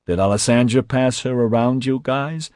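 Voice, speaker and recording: A man's voice: medium pitch, normal speed, a little mellow, no echo, pitched above the performer's own voice, lightly acted. A man voicing a foul-mouthed, bullying studio boss in a narrated novel, aggressive and mocking. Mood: sneering.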